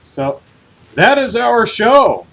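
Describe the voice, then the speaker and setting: A man co-hosting a casual livestreamed podcast about video game news, talking in an animated, jokey way. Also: extremely high pitch, slow pace, vocal fry. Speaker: podcast co-host. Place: hot room at home, on a livestream.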